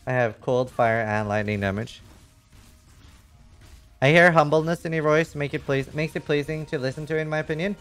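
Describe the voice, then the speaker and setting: A man's voice: a little high, normal speed, fairly bright tone, clear, resonant tone. Man livestreaming over background music. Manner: rambling, frank, swears casually. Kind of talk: casual live game stream commentary, reading chat and thinking aloud.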